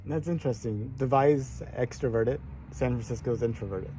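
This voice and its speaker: A man's voice: mid-range voice, a little fast, background noise. A man interviewing a guest for his project, asking questions with frequent uhs and ums. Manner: hesitant, uses uh and um often. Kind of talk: casual interview.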